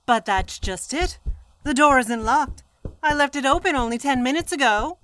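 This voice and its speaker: A woman playing a feisty, unflappable American single woman, brisk, tart and defensive, in a radio mystery style. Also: high-pitched, fairly bright tone, slight background noise. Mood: flustered. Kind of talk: old-time radio mystery acting.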